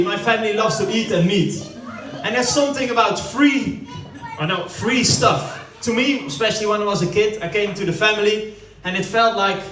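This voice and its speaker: A Dutch-accented man doing rambling stand-up with a high voice and a lot of laughter. He is recorded from a distance in an echoey hall, and a party crowd can be heard around him. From Netherlands. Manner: chatty, rambling, laughing often. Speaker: stand-up comedian. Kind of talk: stand-up comedy at a family party. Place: former football club canteen. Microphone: corded handheld mic.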